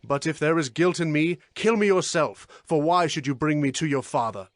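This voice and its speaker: An actor playing an earnest, pleading young fugitive in a dramatized scripture recording. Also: male voice, fairly high pitch, moderate pace, dry recording, studio quality, close to an everyday voice. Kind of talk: dramatized scripture audio acting.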